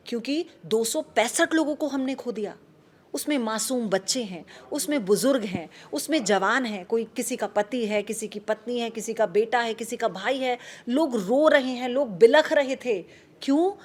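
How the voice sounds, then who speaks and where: Woman news anchor, somber and earnest, rhetorical questions, fairly high voice. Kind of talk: emotional news commentary.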